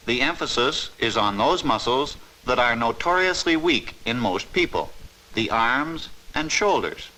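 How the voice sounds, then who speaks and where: A man giving a formal, measured, scripted narration in the style of a 1950s instructional film. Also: mid-range voice, pushed and bright, slight background noise, poor audio.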